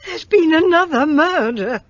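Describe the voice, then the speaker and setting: An actress playing a frightened, superstitious servant in a radio play, speaking in an agitated, dramatic way. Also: female voice, high voice, animated, measured pace, dry recording, highs cut off.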